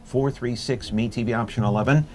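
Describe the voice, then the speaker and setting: A man's voice: medium pitch, fairly even intonation, brisk, darkish tone, slight background noise. A man hosting a local TV talk show, joking and teasing his guests in the studio. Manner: teasing, jokey. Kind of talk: casual studio chat.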